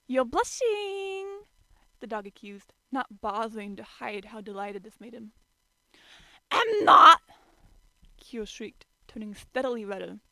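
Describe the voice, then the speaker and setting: A woman's voice: mid-range voice, steady tone, normal speed, gravelly, few pauses, no echo, poor audio. A woman giving an informal, amateur dramatic reading of a story as narrator. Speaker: amateur fan fiction reader.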